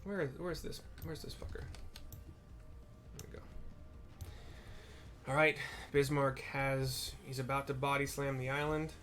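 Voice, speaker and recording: A man commentates on a video game in a casual, unscripted way, with a medium-pitched voice. He thinks aloud as he goes, rambling through explanations and often rephrasing what he means. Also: steady tone, unhurried, pauses often. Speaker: gameplay commentator.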